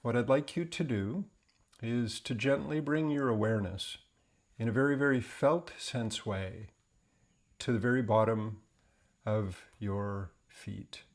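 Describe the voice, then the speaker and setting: A man leading a guided meditation in a gentle, unhurried, deliberate way, leaving long pauses between phrases. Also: medium pitch.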